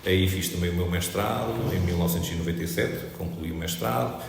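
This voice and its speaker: A middle-aged man from Trás-os-Montes in Portugal, an economics professor answering interview questions in a measured, explanatory way with frequent hesitations. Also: sits low, gravelly, slight background noise. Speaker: economics professor, podcast guest. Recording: echoey room with long reverb.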